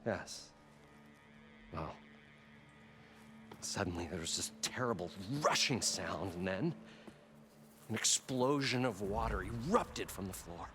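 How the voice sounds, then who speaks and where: An excitable video game inventor with a fairly low voice and a showman's flair. He is obsessed with his quest and stammers when worked up, speaking theatrically over background music. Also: slow pace, long pauses. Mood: dramatic recounting. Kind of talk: dramatic video game dialogue. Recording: music playing behind the voice.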